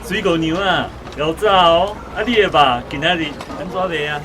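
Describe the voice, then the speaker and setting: An actor with a Taiwanese accent playing a flirtatious, teasing market customer in a radio drama, calling out playfully. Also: male voice, a little high, moderate pace, close to an everyday voice. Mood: cheeky teasing. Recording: noisy outdoor market ambience.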